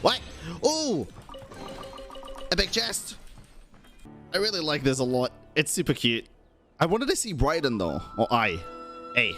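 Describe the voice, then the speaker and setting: A man streaming a game, reacting out loud in a casual, informal way on a close mic. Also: fairly high pitch, wildly swooping pitch, measured pace, long pauses, faint music behind. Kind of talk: casual live reaction commentary.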